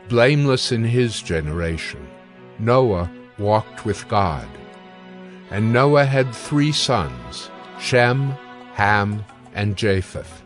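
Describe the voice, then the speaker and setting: Slow, solemn low-voiced male narrator. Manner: solemn, measured, formal. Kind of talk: scripted dramatized scripture narration. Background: music.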